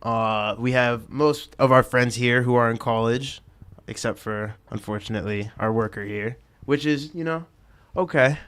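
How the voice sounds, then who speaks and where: Teen guy hosting a chat show, clean smooth voice, normal pitch. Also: moderate pace, fairly bright tone. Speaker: talk show host. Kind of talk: hosted roundtable chat.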